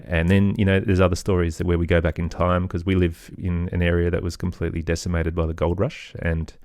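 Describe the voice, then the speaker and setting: A man's voice: sits low, flat delivery, brisk, darkish tone, no echo, studio quality. A man with an Australian accent, chatting casually as an interview guest about his work. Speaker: interview guest, children's podcast creator.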